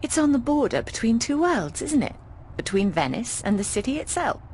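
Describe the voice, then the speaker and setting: A woman's voice: a little high, sing-song, moderate pace, darkish tone, a little hiss or hum. An actress playing an affectionate, frank, middle-aged British boarding-house landlady in a video game. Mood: musing, proud. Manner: affectionate, frank, cheeky, often calls people darling.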